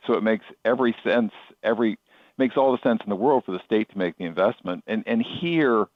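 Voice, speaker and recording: A man's voice: lowish voice, a little fast, no echo, lo-fi sound. A man giving informal, explanatory answers as a guest in a radio interview. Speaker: chairman of a racetrack authority, interview guest.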